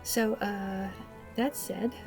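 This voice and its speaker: Hesitant, creaky-voiced woman gamer. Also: medium pitch, fairly even intonation, very slow, over music. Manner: awkward, hesitant, self-deprecating. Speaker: gaming video host. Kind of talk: casual let's play commentary.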